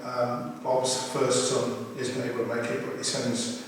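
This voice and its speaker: A man giving an informal, hesitant and halting welcome speech as he leads a funeral service. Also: medium pitch, monotone. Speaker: family member leading a funeral service. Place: crematorium chapel. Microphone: distant camera at the back of the room. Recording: very echoey chapel, distant mic, faint noise.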